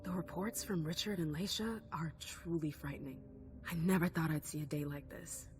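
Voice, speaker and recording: A woman's voice: deep voice, moderate pace, lightly acted. A woman playing a stubborn, wounded female vampire in a video game, speaking in a cool, skeptical, insistent way. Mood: probing. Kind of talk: video game cutscene dialogue acting. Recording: game music underneath. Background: background music.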